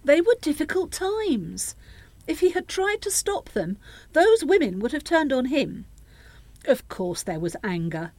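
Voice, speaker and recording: A woman's voice: high voice, sing-song, a little slow, darkish tone, no room sound, exaggerated voice. An actress in a radio play playing a middle-aged grandmother who pleads and reproaches, coaxing and justifying herself. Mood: defensive. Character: pleading, reproachful grandmother, anxious to smooth things over.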